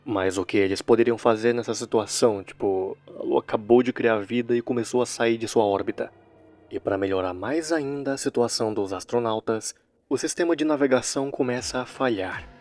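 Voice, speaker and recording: A man's voice: mid-range voice, a little fast, darkish tone, faint music behind. A Brazilian man narrating a story recap in a casual commentary style, with wry, joking asides. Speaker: narrator of a horror series recap video.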